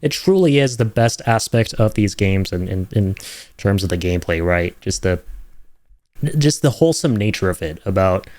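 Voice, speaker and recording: A man's voice: deep voice, expressive, moderate pace, a little mellow. A man giving casual, effusive and rambling reaction commentary as a video host, addressing his viewers directly.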